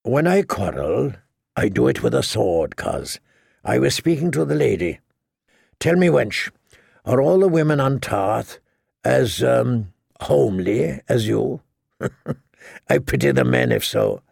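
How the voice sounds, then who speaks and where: A man voicing a cocky, cruel highborn knight with a mocking, sneering drawl for an audiobook. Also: sing-song, slow pace, creaky, a little mellow, slightly rough, no echo, studio quality, exaggerated voice.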